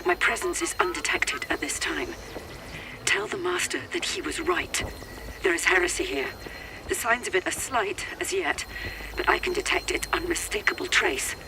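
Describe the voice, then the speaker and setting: A gravelly covert agent, crackling radio. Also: female voice, medium pitch, big pitch swings, moderate pace. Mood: solemn, guarded. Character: covert Imperial agent, solemn, zealous and insistent. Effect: radio transmission. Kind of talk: scripted sci-fi radio transmission. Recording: very noisy, crackling radio transmission.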